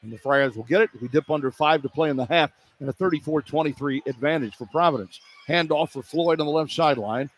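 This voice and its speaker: Radio guy calling hoops play by play, higher voice, clipped phrases, some arena noise behind him. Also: fast, hardly any pauses. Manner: clipped running description in short phrases. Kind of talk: live basketball play-by-play commentary. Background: arena ambience.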